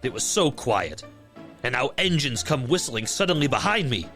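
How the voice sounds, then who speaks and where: A man's voice: mid-range voice, sing-song, moderate pace, a bit bright, faint music behind. A man voicing a cross, pompous village policeman in a storybook, snappish and accusing. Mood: indignant, grumbling.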